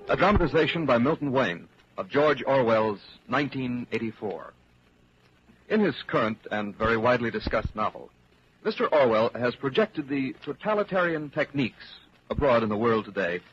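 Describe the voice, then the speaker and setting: A man's voice: medium pitch, lively intonation, normal speed, a bit bright. Old-timey radio announcer guy, very formal, muffled sound, bit of music behind. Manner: formal, declamatory. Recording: muffled 1940s radio broadcast.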